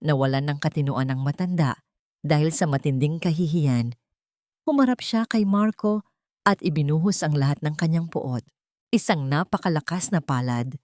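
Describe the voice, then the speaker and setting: Female Filipino storyteller, lowish register, measured dramatic read, close dry sound. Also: a little mellow, no echo. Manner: measured, formal, dramatic delivery. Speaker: story narrator. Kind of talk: scripted dramatic story narration.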